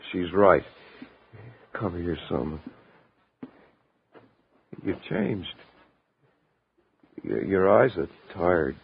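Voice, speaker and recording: Low, gravelly, brooding lovesick man. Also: lively intonation, heavily acted. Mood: bitter, brooding. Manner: halting, brooding, broken phrases. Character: embittered, lovesick man menacing the woman who hurt him. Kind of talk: 1950s radio drama acting. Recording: muffled old radio recording with background noise.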